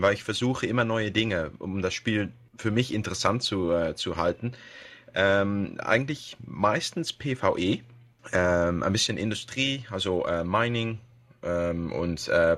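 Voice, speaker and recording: A man's voice: on the low side, measured pace, a bit bright. A man from the Netherlands with a Dutch accent, speaking German as a second language in a casual podcast interview, hesitant with many fillers and restarts. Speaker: podcast guest, web radio host.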